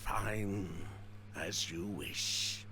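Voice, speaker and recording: A man's voice: on the low side, fairly even intonation, drawn-out words, noisy background. An actor playing an evasive male merchant in a video game, glib and elaborately polite with a veiled menace. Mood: resigned. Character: smooth-talking, evasive male merchant and ex-swindler. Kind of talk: scripted video game dialogue.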